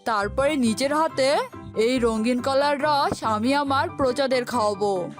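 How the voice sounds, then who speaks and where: A woman's voice: high-pitched, measured pace, soft background music. A woman voicing a proud, cunning eagle king in a cartoon, with a commanding, teasing manner. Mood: sly, coaxing.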